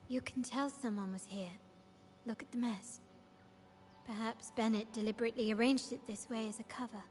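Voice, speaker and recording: A woman's voice: on the high side, fairly even intonation, a little mellow, slight background noise, natural voice. An actress playing a composed, formal, analytical woman investigator in a video game, speaking politely and precisely. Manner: measured, polite, articulate. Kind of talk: scripted video game dialogue.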